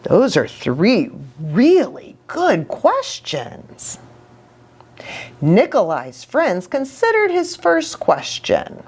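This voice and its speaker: A woman reading a story aloud for young children as a church storyteller, expressive, with chatty asides. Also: a little high, drawn-out words, slightly rough.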